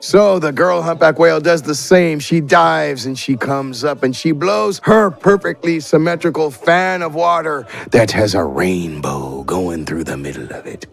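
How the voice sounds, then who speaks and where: A male game master improvises tabletop narration in a theatrical way. His pitch is high for a man and moves around a lot, with a gravelly edge. Faint music plays behind him. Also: lively intonation, unhurried, few pauses.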